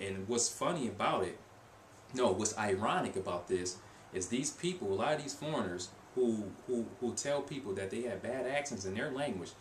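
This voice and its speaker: Low-voiced man rambling in an echoey room. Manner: rambling, many fillers and restarts, conversational. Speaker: language-learning vlogger. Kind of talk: casual vlog monologue. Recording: echoey room with faint hiss.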